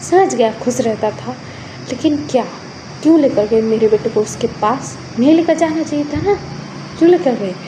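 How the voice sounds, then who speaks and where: Woman storyteller doing an angry protective dad, high voice, keeps repeating accusing questions, faint noise. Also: lively intonation, normal speed, lightly acted. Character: anguished, stubborn, protective parent, a man, quick to anger.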